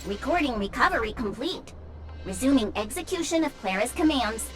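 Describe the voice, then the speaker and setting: A woman's voice: high voice, expressive, moderate pace. A woman voicing a dutiful robot gatekeeper in a sci-fi game, with formal, mechanical phrasing. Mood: impassive, procedural. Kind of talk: game voice acting. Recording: faint music behind.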